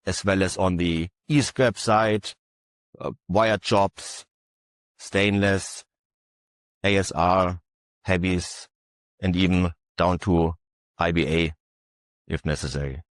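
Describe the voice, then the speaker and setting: A man presenting his company's equipment at an industry session, speaking haltingly with many filler uhs. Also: low-pitched, steady tone, dry recording. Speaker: conference panelist.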